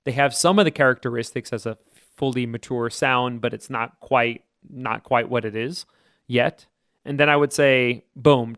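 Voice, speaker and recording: A man talks through choir voice types in a relaxed, explanatory podcast chat, often hedging his points. His voice sits at an ordinary middle pitch. The sound is dry and close, with no room around it. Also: no echo. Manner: thoughtful, explanatory, hedging with "I would say". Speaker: podcast co-host, choir teacher.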